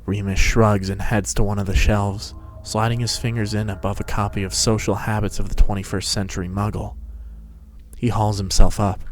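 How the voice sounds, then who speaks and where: Low, even male story narrator. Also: normal speed, slight background noise. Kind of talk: dramatic scripted story narration.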